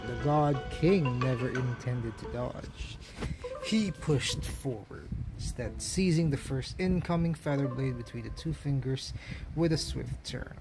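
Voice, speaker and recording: A man's voice: mid-range voice, unhurried, over music, low-quality recording. A man reading a story aloud as a dramatic, emphatic narration. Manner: theatrical, emphatic storytelling delivery.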